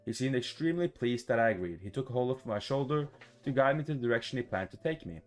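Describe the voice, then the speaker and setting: A man's voice: medium pitch, lively intonation, quick pace. A man reading a visual novel aloud as a gaming YouTuber, stumbling over words now and then and adding his reactions. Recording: music behind the voice.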